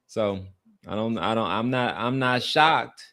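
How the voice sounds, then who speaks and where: A man's voice: medium pitch, measured pace, sharp tone. A man co-hosting a sports podcast, musing conversationally and repeating phrases for emphasis. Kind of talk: casual sports commentary.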